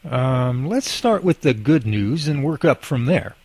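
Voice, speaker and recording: A man's voice: mid-range voice, animated, unhurried, a little mellow, husky, no room sound. A man acting a cheerful, devout working husband in an amateur radio drama, with a folksy, good-humored manner. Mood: pleased.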